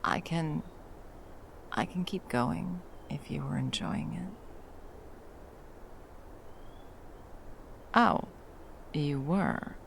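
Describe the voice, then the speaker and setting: A woman playing a protective, tender monster hunter in an intimate ASMR roleplay, speaking haltingly and breathily, often dropping toward a whisper. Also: deep voice, vocal fry, a little hiss or hum, heavily acted. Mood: hesitant, concerned, then teasing, seductive. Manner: halting, coaxing, speaking to a beloved. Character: wandering female monster hunter, fiercely protective, tender lover.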